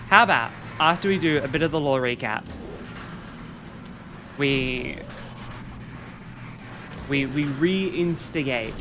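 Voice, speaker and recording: A game streamer talking casually through a live stream, chatting with viewers and reading chat aloud in a soft, soothing way. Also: mid-range voice, noisy background. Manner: chatty, reads and answers chat.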